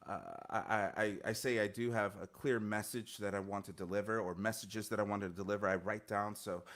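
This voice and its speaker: A man in his thirties speaks earnestly into a studio mic at home, reflective and full of little restarts. His voice sits a bit low. It comes through a video call. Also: level tone, fairly quick, a bit bright. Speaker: guest musician. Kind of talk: conversational interview answers. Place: home music studio, indoors. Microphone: studio mic on stand, headphones, video call.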